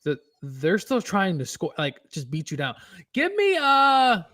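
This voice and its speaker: Man, co-host, casual sports chat, lots of fillers, animated pitch. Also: measured pace. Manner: loose, filler-heavy, laughs along.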